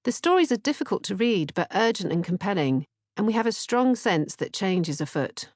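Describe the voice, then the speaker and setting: A woman's voice: medium pitch, sing-song, brisk, no room sound, clean studio sound. A woman narrating an audiobook from a script. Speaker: author-narrator reading audiobook, podcast co-host.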